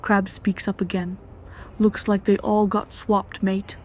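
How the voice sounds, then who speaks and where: Even-toned woman reading a roleplay story. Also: medium pitch, unhurried, slight background noise. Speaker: story reader. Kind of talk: scripted roleplay narration with dialogue.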